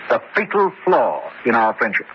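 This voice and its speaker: Male actor as proud haunted prodigy, deliberate melodrama, highly animated intonation, radio horror play, dull noisy recording. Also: natural voice. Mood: courteous, defiant. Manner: theatrical, deliberate, dramatic pauses. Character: grown scientist prodigy, haunted, proud, intense former pupil.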